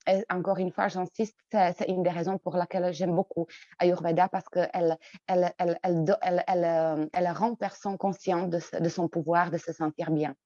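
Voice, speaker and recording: Casting: woman, Czech accent, slightly low voice, halting guest full of pauses and restarts, dull dry line. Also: level tone, mellow, no room sound. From Czech Republic. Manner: hesitant, many fillers and repeated words. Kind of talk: interview answers.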